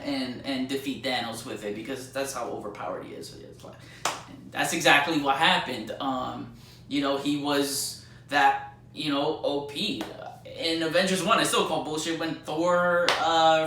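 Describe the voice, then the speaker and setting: Echoey, bright-voiced man rambling about films. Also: a little high. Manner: rambling, slangy, mildly sweary fan talk. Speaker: movie reviewer. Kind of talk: casual spoken movie review with spoilers. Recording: roomy echo, distant mic, faint noise.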